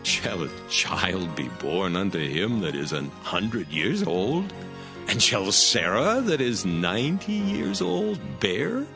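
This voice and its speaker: Slow, gravelly old Abraham. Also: male voice, lowish voice, big pitch swings, over music, heavily acted. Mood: wondering. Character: Abraham, humble, reverent old patriarch. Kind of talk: dramatized scripture reading.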